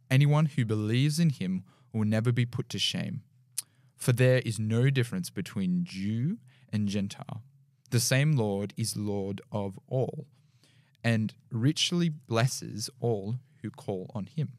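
Young male guest, deep baritone, scripture reader, dry studio mic. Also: a little mellow, no room sound. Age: young adult. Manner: slightly halting reading with small slips. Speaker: podcast guest. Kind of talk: reading scripture aloud. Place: small podcast studio indoors. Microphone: studio mic on boom arm with headphones.

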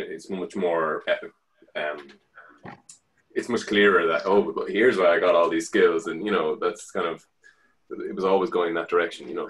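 A man's voice: deep voice, a bit bright, poor audio. A man, a knife maker chatting casually on a panel over a video call with earphones from home. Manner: casual, laid-back, chatty. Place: home room. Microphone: earphone headset on video call.

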